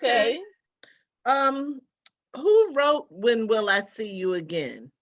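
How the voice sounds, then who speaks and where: Lady asking polite questions, talks slow, bit high pitched. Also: very animated, lo-fi sound. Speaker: regular panelist asking questions.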